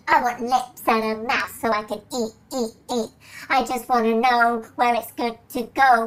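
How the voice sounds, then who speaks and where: A woman's voice: mid-range voice, fairly bright tone, rough recording. A woman voicing a sarcastic, restless brain in a jar that craves a body and rants in rhyme. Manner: sardonic, then chanting a rhyming rant. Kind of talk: stage drama acting.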